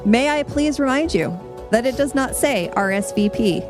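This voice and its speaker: A woman's voice: a little high, lively intonation, moderate pace. Mock-grand American woman over music. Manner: playful, mock-grand, tongue-in-cheek delivery. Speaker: podcast guest making a debate case. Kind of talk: scripted persuasive speech read aloud. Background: music under the voice.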